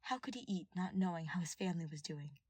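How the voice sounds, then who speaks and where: A woman reading scripted fiction aloud as an expressive audiobook narrator. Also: lowish voice, fast, husky, no room sound.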